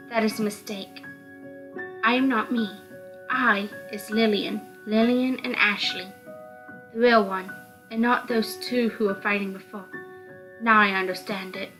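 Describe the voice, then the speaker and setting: Woman doing a dramatic visual novel read, normal pitch, some music behind her. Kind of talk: dramatic narration, reading a visual novel aloud. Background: background music.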